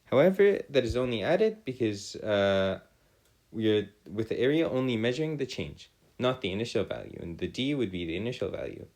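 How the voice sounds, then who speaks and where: A man tutoring a math and physics lesson in formal, old-fashioned wording, often halting and correcting himself. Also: fairly low pitch, sing-song, darkish tone.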